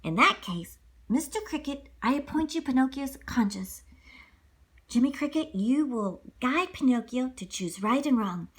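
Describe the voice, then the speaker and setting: Storybook fairy princess played by a woman: high, clear-cut pronouncements, gentle, solemn, magical. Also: animated, unhurried. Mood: kindly, formal.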